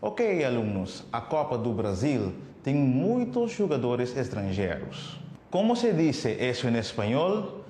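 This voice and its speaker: A man's voice: medium pitch, sing-song, warm tone, soft background music. A man teaching a class in an instructive way. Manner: slow, instructive. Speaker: Spanish teacher. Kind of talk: mock classroom lesson. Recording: roomy classroom sound.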